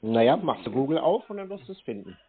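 A German man speaks casually but confrontationally on a call. His medium-pitched voice is dismissive and mocking, with blunt replies. The sound is narrow, like a phone line. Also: very animated, quick pace. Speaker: scam baiter taking a phone call. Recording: thin narrow-band phone audio.

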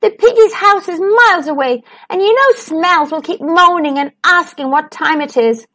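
Woman as an exasperated young wolf boy, high-pitched. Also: animated, normal speed, fairly bright tone. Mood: protesting. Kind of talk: storybook character voice.

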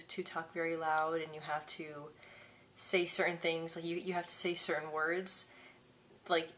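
A woman's voice: lowish voice, a bit bright, a little hiss or hum. A young woman in her twenties talking casually to camera in a small bedroom, stuttering with many repeated syllables and blocks. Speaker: vlogger. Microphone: camera mic at desk distance.

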